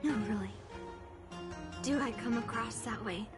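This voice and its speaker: Woman voicing a slow-spoken, headstrong young swordswoman over music. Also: on the high side, close to an everyday voice. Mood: earnest, guarded. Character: headstrong young swordswoman, blunt, guarded, earnest. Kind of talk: English video game voice acting. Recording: music behind the voice.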